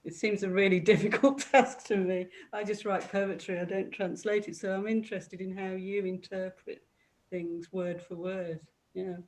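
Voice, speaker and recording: A woman's voice: medium pitch, fairly even intonation, moderate pace. Female moderator for a literary panel, mid-range voice, casual and responsive. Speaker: discussion moderator and poet.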